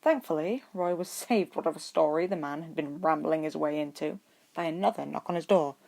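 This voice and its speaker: Low-pitched woman narrating a story. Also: fairly quick, gravelly, darkish tone. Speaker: amateur audiobook narrator.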